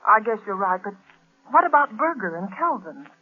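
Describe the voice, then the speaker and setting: A slightly husky, earnest young woman, pleading anxiously over a tinny old broadcast. There is real worry in every line. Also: medium pitch, very animated, normal speed. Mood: worried. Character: worried young woman, loyal companion. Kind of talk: 1930s radio acting.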